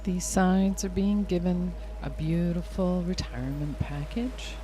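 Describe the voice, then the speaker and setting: A woman leading a hypnotic guided meditation in a soothing, unhurried, gently instructing way. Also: fairly low pitch, slight background noise. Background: music clearly behind the voice.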